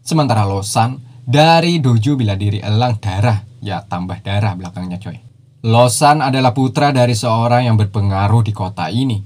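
A man's voice: on the low side, normal speed, a little mellow. A man narrating a cartoon series recap in a casual, slangy voice-over, dropping teasing jokey asides.

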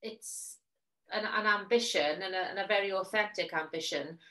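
A woman's voice: mid-range voice, normal speed, a bit bright. Welsh woman on a video-call headset. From Wales. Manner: open, candid, talks in long runs. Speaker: magazine editor-in-chief, guest. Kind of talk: casual interview chat. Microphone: headset over video call.

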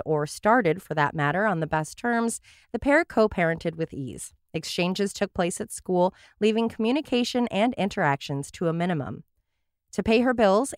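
A woman's voice: lowish voice, no room sound, clean studio sound. A woman hosting a true crime podcast, reading her case notes with wry, sarcastic asides. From Portland area, USA.

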